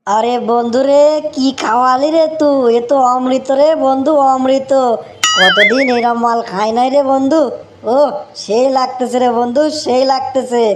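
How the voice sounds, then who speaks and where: High-pitched whiny cartoon drunkard. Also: male voice, steady tone, measured pace, a little hiss or hum. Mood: tipsy delight. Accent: rural Bangladeshi. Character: whiny, excitable young male drunkard, easily scared. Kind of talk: Bengali comic cartoon voice acting.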